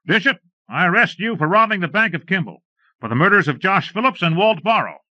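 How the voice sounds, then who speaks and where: A man's voice: high voice, animated, fairly quick, sharp tone, no room sound, pitched above the performer's own voice, full character voice. Terse, commanding Western Ranger played by a male narrator, voice lifted above narration, muffled lo-fi recording. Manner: commanding, clipped statements. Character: stern, terse Texas Ranger lawman in a Western. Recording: dull lo-fi cassette transfer.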